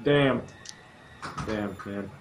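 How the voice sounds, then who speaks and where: A man's voice: fairly low pitch, drawn-out words, background noise. A twenty-year-old man from Texas, chatting and wisecracking with friends. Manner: talkative, wisecracking. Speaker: co-streamer. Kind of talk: casual chat.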